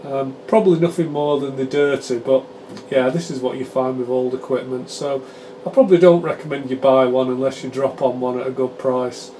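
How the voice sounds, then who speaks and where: A British man gives an informal hands-on technical explanation as a presenter, chatty and halting as he explains things. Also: medium pitch, steady tone, warm tone, slight background noise, poor audio. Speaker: presenter demonstrating radio repair equipment.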